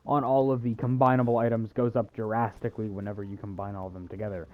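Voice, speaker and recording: A man's voice: lowish voice, level tone, fast, narrow, tinny audio. A man giving casual video game walkthrough commentary, with hesitant, wry, self-deprecating asides.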